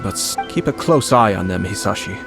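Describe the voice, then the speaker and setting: An actor playing a shrewd young clan lord in a video game, speaking in a formal, measured, polite manner. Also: male voice, on the low side, animated, vocal fry, over music. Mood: composed, shrewd.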